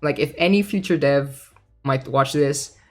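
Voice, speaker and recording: A man's voice: medium pitch, a little slow. A man giving casual commentary as he reads out game news, informal and thinking aloud. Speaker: gaming content creator. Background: background music.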